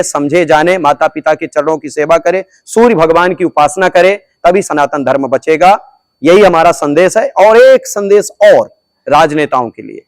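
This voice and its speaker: A man's voice: on the high side, no echo. A man who is a religious leader, answering press questions in an emphatic, preachy manner and repeating phrases for stress.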